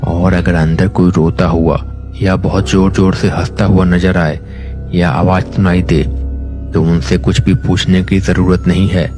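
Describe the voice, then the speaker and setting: A man's voice: sits low, little pitch movement, a little slow. A man voicing an older village uncle who gives firm, matter-of-fact instructions in a horror storybook cartoon. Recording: narration over loud music. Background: music.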